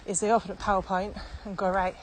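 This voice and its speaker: British woman, podcast expert. Emphatic, conversational explainer, mid-pitched, some ambient noise. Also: level tone, quick pace. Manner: emphatic, repeats key phrases, poses rhetorical questions. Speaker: podcast co-host and virtual training expert.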